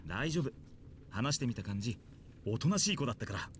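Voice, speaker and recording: Guy voicing a nervy easygoing teacher in a visual novel, talks fast, noisy ambience behind. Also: medium pitch, sing-song, lightly acted. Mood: light, placating. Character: mild, jokey, nervous male schoolteacher. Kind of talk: Japanese visual novel voice acting.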